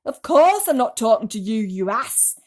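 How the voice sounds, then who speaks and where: A woman voicing a bossy, impatient male centipede who scolds and shouts in a children's storybook. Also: on the high side, very animated, moderate pace. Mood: angry.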